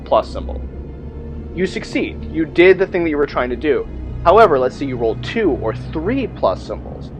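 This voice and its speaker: A man presenting a casual explainer on tabletop roleplaying game rules, conversational, moving between reading out text and off-the-cuff asides. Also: a little high.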